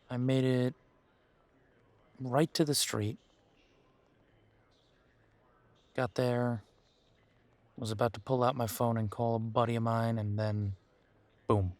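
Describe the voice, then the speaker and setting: A man playing a bitter, wry young werewolf in an audio roleplay, talking haltingly and sardonically as if in intimate one-sided conversation. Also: medium pitch, fairly even intonation, a little mellow, no echo, close to an everyday voice. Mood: wry recounting. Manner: halting, sardonic, conversational with sighs and repeated words.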